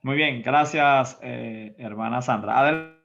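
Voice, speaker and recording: A man's voice: mid-range voice, takes it slowly, gravelly, a bit bright, lo-fi sound, faint music behind. A man hosting an online church meeting, politely addressing speakers by name with short, formal turns. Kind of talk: moderating a church call. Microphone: computer mic on a call.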